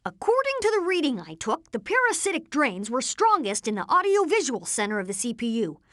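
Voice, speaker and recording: Bright, high-pitched boy genius. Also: wildly swooping pitch, a little fast, no room sound, studio quality, full character voice. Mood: explaining, confident. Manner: precise, technical, explanatory. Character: boy genius inventor, earnest, brainy, take-charge young leader. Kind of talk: animated video game voice acting.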